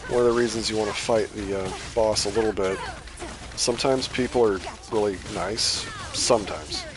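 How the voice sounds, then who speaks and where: A man's voice: lowish voice, steady tone, normal speed, over music. A man giving casual, rambling gameplay commentary on a livestream, his voice starting to tire. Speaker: game livestreamer.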